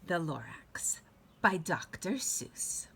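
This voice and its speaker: A middle-aged woman talking and reading aloud to young children in an expressive teacher's manner. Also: lowish voice, slow, gravelly, husky. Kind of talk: children's read-aloud with chatty introduction. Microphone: phone at arm's length.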